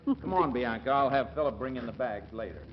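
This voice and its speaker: A man's voice: medium pitch, animated, brisk, close to an everyday voice. A man playing a flustered, evasive, stammering husband in a 1940s radio comedy. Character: flustered, evasive husband dodging his bride. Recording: dull, noisy old radio recording.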